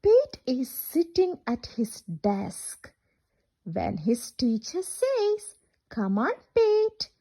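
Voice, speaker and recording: A woman reading a picture book aloud to children in a singsong, interactive way, asking questions as she goes. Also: high-pitched. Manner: animated, singsong, asks questions and pauses for children. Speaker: children's storyteller.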